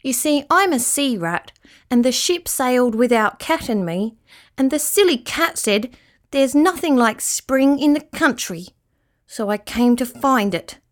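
A fretful little storybook rat, read by a woman, naive and quick to take offence. His plaintive voice sits high and rises and falls a lot. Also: expressive. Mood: puzzled, indignant. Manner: plaintive and indignant. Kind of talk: children's storybook character voice.

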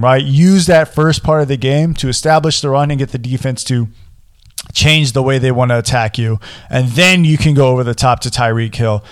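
Hoarse young man breaking down football. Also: medium pitch. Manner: animated, repeats words, pumps up with singsong callouts. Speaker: sports podcast host. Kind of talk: solo football preview analysis.

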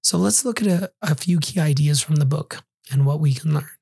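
A man hosting a solo podcast, reviewing a book in an earnest, reflective way while hoarse and recovering from losing his voice, some words breathy and half-whispered. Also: a little high, a little fast, dark tone, dry recording. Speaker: podcast host.

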